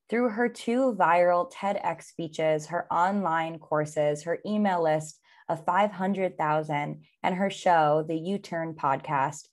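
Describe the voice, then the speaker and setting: A woman hosting an interview podcast, introducing her guest and asking questions eagerly. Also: lowish voice. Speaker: podcast host.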